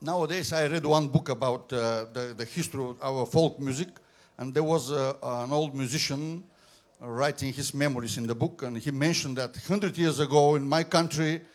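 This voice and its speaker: A Bulgarian saxophonist, a man, telling stories in careful, halting speech. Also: medium pitch.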